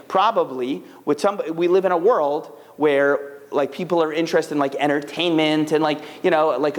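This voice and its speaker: A man's voice: a little high, in a large hall. A man talking casually and animatedly to a live audience, answering questions. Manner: chatty, animated, full of fillers.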